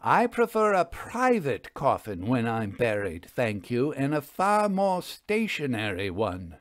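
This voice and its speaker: A man voicing a brisk, opinionated woman ghost investigator with a dry, declarative manner in a storybook reading. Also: medium pitch, wildly swooping pitch. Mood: dryly disapproving. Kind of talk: audiobook storybook dialogue.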